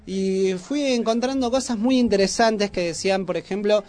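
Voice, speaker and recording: A man with a fairly high voice and a Buenos Aires Argentine accent talks casually as a radio presenter. He explains in a hesitant, informal way, with music playing behind him. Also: normal speed, a little mellow.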